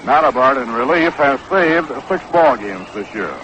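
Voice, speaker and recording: An American man calling baseball play-by-play in 1960s radio style, with a measured, unhurried, factual delivery. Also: mid-range voice, expressive, fairly bright tone. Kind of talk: 1960s live radio sports commentary. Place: ballpark broadcast booth. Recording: dull, noisy 1960s AM radio broadcast.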